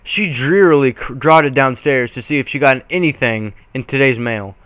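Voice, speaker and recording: A man's voice: mid-range voice, normal speed, a bit bright, smooth, dry recording. A man reading a story aloud as an amateur, tripping over the written words and dropping into casual asides. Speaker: amateur reader.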